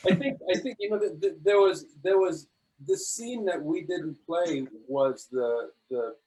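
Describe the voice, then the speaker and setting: A man in a thoughtful panel discussion, halting and repeating words as he thinks aloud on a video call. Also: on the high side, darkish tone, rough recording.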